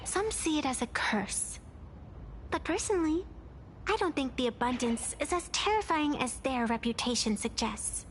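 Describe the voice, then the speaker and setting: High-voiced playful young trickster. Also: female voice, animated, moderate pace, a little hiss or hum. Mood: reflective. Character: playful, philosophical young female trickster, coolly teasing. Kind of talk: video game voice acting. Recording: faint game music behind.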